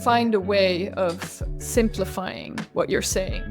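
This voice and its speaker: A woman's voice: mid-range voice, slow, music in the background. Woman guest, native Swedish speaker, chatty storyteller, mid-range voice. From Finland. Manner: chatty and anecdotal, with frequent restarts. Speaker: podcast guest, CEO ghostwriter. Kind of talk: casual interview chat.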